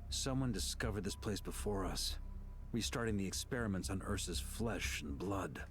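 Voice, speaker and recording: A seasoned expedition veteran for an anime game. He is unhurried and very low-pitched, with music clearly behind him. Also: lively intonation. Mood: musing.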